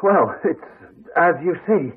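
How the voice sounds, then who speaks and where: An actor playing an awkward, evasive, polite house agent with an English accent, hesitant and apologetic, in a radio drama. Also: male voice, a little high, expressive, takes it slowly, breathy edge, exaggerated voice. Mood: evasive, uneasy. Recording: dull, band-limited 1980s radio recording.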